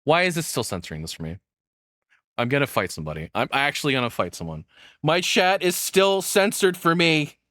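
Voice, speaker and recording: A man's voice: a little high, sing-song, fairly quick, sharp tone, no echo. A man giving casual live reaction commentary as a streamer, rambling and swearing often, close to the microphone.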